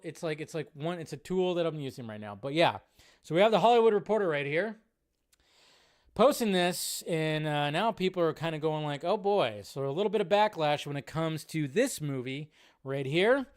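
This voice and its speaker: Casting: high-voiced, smooth, chatty male commentator reading film news. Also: no room sound, clean studio sound. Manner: chatty, reads news aloud, stumbles over names.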